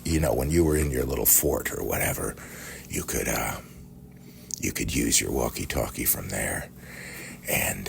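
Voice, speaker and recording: A middle-aged American man telling casual, rambling childhood-style reminiscences in a halting, conversational way. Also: very deep voice, creaky. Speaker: podcast storyteller. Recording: faint background noise.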